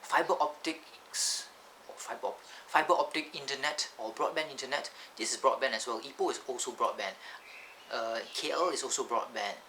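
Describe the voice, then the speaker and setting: A man with a Malaysian English accent rambling through a casual vlog, often correcting himself and drifting off topic, recorded on a camcorder's built-in microphone that makes his voice sound thin and lacking bass. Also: normal speed, pushed and bright, poor audio. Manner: rambling, digressive, self-correcting. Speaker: vlogger. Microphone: built-in camcorder mic. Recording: camcorder audio with clear background noise.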